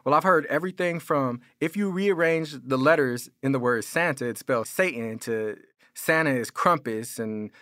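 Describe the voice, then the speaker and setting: Male American pastor, middling pitch, informal and argumentative teaching sermon, very little room sound. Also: moderate pace, fairly bright tone, dry recording, clean studio sound. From California. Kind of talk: sermon, explanatory apologetics teaching.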